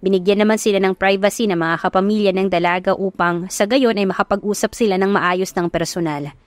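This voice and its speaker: A woman's voice: medium pitch, fairly even intonation, fairly quick, dry recording. A woman with a Filipino accent narrating a scripted love story in a dramatic storytelling style. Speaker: audiobook narrator.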